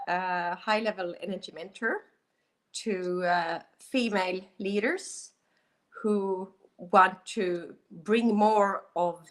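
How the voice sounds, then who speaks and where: A woman from Finland introducing herself as a workshop guest on a video call, pausing often with fillers. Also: medium pitch, poor audio. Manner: hesitant, many fillers. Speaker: guest speaker. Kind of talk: self-introduction.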